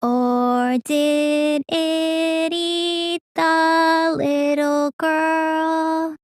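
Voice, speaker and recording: A woman's voice: very high voice, steady tone, drawn-out words, no echo, studio quality, faint music behind, exaggerated voice. A woman voicing a sluggish, stubborn, gullible teenage girl magician in an English video game dub, with a lazy, childish drawl that turns whiny. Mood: eerie chanting.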